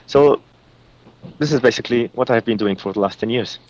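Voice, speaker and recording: A man from Lebanon with an Arabic-accented voice, giving psychic readings as a guest and pausing often with fillers. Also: mid-range voice, darkish tone, dull audio. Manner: halting, many uh and um fillers.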